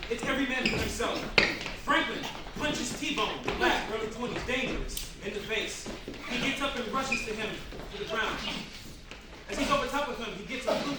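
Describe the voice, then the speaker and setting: A man with a slightly high voice reads scripted screenplay narration and stage directions. He sounds far from the mic in a very echoey room with clear noise. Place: live staged reading in a room.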